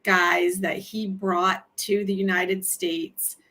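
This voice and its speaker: A woman's voice: mid-range voice, little pitch movement, takes it slowly, bright, forceful tone. A woman born in Colombia, hosting a casual interview in a chatty, easygoing way. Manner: chatty, laughs easily, conversational. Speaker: host.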